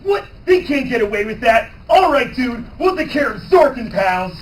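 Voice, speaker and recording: Man playing brash high-pitched young adventurer. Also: very animated, normal speed. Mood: brash excitement. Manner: brash, exclamatory. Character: brash, cocky young male adventurer, loud and eager. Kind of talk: live dramatic manga reading. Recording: noisy distant live room recording.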